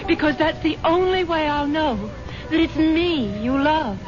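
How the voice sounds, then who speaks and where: Slow-spoken genteel wife, old radio. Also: female voice, high-pitched, heavy background noise. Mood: hurt, challenging. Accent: American. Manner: genteel, deliberate, theatrical. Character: wealthy, dreamy, trusting wife drawn to mysticism. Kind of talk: 1970s radio drama acting. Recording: dull 1970s radio broadcast, loud music.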